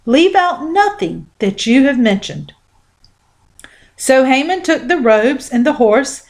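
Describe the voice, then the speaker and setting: A woman reading scripture aloud as scripted narration, with measured, deliberate phrasing. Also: high-pitched. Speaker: Bible reader.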